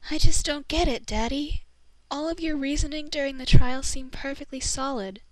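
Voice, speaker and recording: A high, girlish voice for a spirited teenage daughter, acted by a woman. She is earnest, supportive and encouraging, in the manner of a dubbed visual novel game. The recording is close and dry. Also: moderate pace, no room sound, close to an everyday voice, low-quality recording. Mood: puzzled, concerned. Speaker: voice actor in a fan dub.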